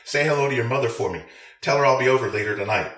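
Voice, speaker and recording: A man's voice: medium pitch, rapid-fire, fairly bright tone, reverberant. A man delivering a profane, sarcastic rant to his viewers as a veteran and video creator. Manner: crude, sarcastic, swearing.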